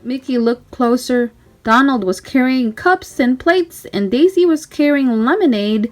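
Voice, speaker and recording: A woman reading a children's story aloud in an animated, emphatic, child-directed way. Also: sits high, a little slow. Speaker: storybook reader. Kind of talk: expressive children's read-aloud narration.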